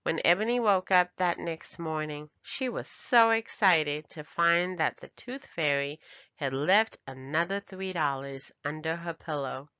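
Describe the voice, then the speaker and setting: Female storybook narrator for children, unhurried and bright, on a dry lo-fi track. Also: low-pitched, clear, resonant tone, no room sound. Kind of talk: children's read-aloud, scripted narration.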